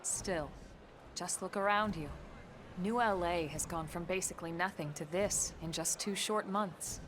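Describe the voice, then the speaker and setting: A woman playing a serious, composed military officer in a video game, explaining things in a measured, matter-of-fact way. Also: fairly low pitch, background noise, lightly acted. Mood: explanatory. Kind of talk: English video game cutscene acting.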